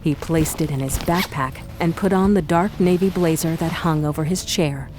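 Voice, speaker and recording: Low-voiced woman narrator. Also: moderate pace, a little mellow, soft background music. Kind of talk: scripted audio drama narration.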